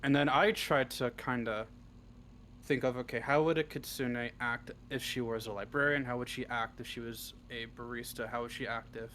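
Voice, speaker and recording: A man's voice: mid-range voice, steady tone, moderate pace, a bit bright, slight background noise. A man giving casual, unscripted answers as an interview guest, talking freely and swearing often. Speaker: interview guest, audio roleplay script writer.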